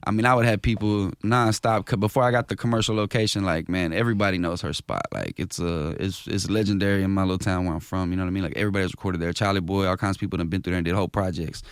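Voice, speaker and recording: A man, a rapper, chatting casually in an interview, animated and full of slang, with a higher-range voice. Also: racing, few pauses, smooth, dry recording, studio quality. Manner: animated, slangy, repeats himself. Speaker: rapper guest.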